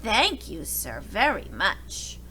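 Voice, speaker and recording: A woman voicing a polite, eager old gentleman in a storybook, calling out courteously and insistently. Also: mid-range voice, huge pitch swings, slow pace, slight background noise, heavily acted. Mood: hopeful, polite.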